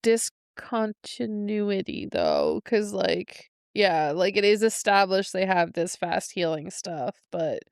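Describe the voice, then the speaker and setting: A woman's voice: medium pitch, big pitch swings, takes it slowly, hardly any pauses, smooth, no echo, clean studio sound. A woman co-hosting a podcast, talking through a book in a casual, informal style. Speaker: podcast co-host.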